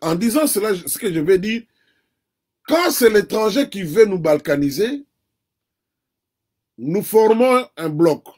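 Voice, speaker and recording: A man from the DR Congo with a Congolese French accent, a native Kikongo speaker, giving earnest, deliberate political commentary as an interview guest. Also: high voice, animated, a little mellow.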